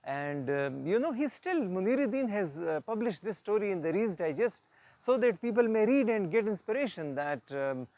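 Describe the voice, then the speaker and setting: A male storyteller with a somewhat high voice shares an uplifting tale in an informal, earnest style. He explains as he goes, pausing often and repeating words as he finds the next phrase. The sound is very dry, with almost no room reflection. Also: sing-song, a little mellow, no echo, low-quality recording. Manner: earnest, didactic, halting with frequent pauses and repeats. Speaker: storyteller and presenter. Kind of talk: informal retelling of an inspirational moral story.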